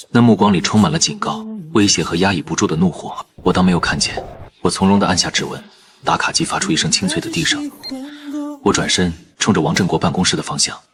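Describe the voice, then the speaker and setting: A man narrating a first-person story in a calm, even manner. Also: low-pitched.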